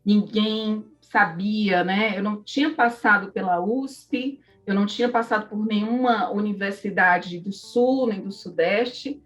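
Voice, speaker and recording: A woman speaks with a Northeastern Brazilian accent, a little away from the mic with music underneath. She is an interview guest, sharing personal stories in a reflective, conversational way at a middle pitch. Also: unhurried, hardly any pauses, recorded from a distance. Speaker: interview guest, psychology professor.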